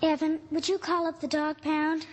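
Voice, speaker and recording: A woman's voice: high-pitched, flat delivery, normal speed, natural voice. A woman acting an earnest, anxious little girl in an old-time radio drama, plaintive and pleading. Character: earnest, anxious little girl pining for her lost dog. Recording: noisy vintage radio drama recording.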